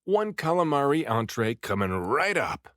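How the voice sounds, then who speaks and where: A man voicing a storybook castaway, excitable and self-doubting, who exclaims and mutters dramatically to himself. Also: medium pitch, unhurried, no room sound, clean studio sound, voice pushed up. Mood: cocky, excited. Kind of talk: storybook character dialogue.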